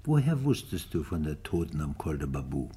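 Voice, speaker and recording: Police inspector, deep and raspy, blunt, wary, gruff, radio crime drama. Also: male voice, sing-song, moderate pace, mellow, soft background music, heavily acted. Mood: challenging. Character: gruff, skeptical male police homicide inspector.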